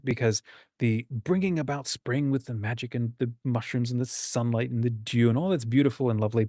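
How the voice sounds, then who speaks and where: A man's voice: medium pitch, darkish tone, no room sound, studio quality. A man hosting an online writers' group, explaining things informally and conversationally while leaning on his key points. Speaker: host and anthology editor of a writing community. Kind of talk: informal explanatory talk to an online group, screen-share walkthrough.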